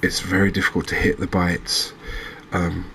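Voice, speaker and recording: A man giving gentle, unhurried ASMR commentary as he plays a game, pausing and hesitating as he goes. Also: low voice, flat delivery, vocal fry, slight background noise. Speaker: host of a gaming channel. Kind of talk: ASMR let's-play commentary.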